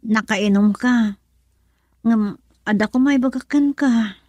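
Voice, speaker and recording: A woman's voice: a little high, takes it slowly, darkish tone, dry recording. A woman playing a meek, wistful young wife and trained nurse in an Ilocano radio drama. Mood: hesitant.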